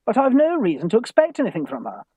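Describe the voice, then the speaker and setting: An actor playing a timid, earnest young English gentleman student in a theatrical Victorian farce, polite, anxious and hesitant. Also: extremely high pitch, wildly swooping pitch, fast, husky, dry recording, dull audio, full character voice. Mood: fretful, earnest. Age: young adult. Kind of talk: theatrical radio farce acting.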